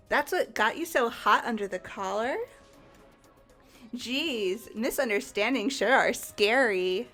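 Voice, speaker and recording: A high, very unhurried teen girl mastermind played by a woman, flippant and mocking. She drawls her callous game dialogue over background music. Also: a bit bright, full character voice. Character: gleefully callous female teen mastermind of despair. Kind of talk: amateur voice acting of a video game.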